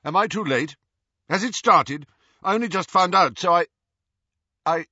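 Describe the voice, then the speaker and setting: Man performing earnest young storybook man, slightly pompous, awkward, spluttering, raised pitch, forceful husky tone. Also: very animated, moderate pace, no echo, muffled recording, heavily acted. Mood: flustered.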